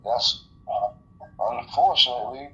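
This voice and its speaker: A man's voice: unhurried, sharp tone. A man in a casual, opinionated phone conversation, speaking emphatically in profane slang and repeating words to make his point. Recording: dull, noisy phone call audio with rumble.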